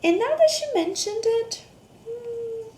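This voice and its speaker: A woman reading a picture book aloud to children, expressive, with questions, gasps, hums and hushed asides. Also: very high-pitched, slow pace. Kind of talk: interactive children's read-aloud with questions to young listeners. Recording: roomy echo, faint background noise.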